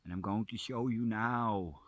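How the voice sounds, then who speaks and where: A man with a Dutch accent, softly narrating a mushroom hunt in a forest as a nature vlogger. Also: lowish voice, a little slow, dull audio, slight background noise. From Netherlands. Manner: soft-spoken, drops to a hushed near-whisper up close. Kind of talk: casual narration while foraging. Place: forest, outdoors.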